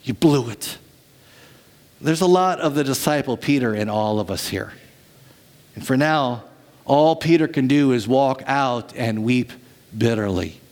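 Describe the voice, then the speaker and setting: A man preaching a sermon to his church as pastor, telling stories dramatically and swinging from lively to hushed. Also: mid-range voice, unhurried, pauses often.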